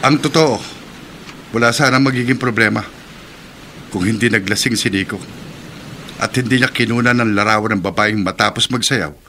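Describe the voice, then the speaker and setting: Firm, bright-voiced male friend. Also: lowish voice, moderate pace, long pauses, a little hiss or hum. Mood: firm, consoling. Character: firm, reassuring male friend of a guilt-ridden man. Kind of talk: radio drama acting.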